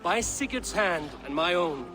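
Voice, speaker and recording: A man playing a confident, proud Viking warrior leader in a video game, speaking in a measured, formal way. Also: sits high, lively intonation, music in the background. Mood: proud, ceremonial. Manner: measured, stately, formal speech.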